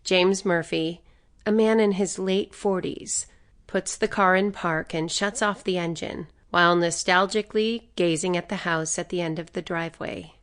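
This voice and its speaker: A woman's voice: lowish voice, steady tone, normal speed, smooth. A woman reading scripted story narration for an audiobook.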